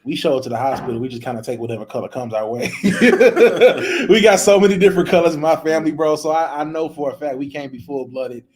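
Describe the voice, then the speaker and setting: Man from Louisiana, podcast guest, rapid delivery, emphatic, casual chat. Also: a little high. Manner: quick, emphatic, punctuated by "you know what I'm saying".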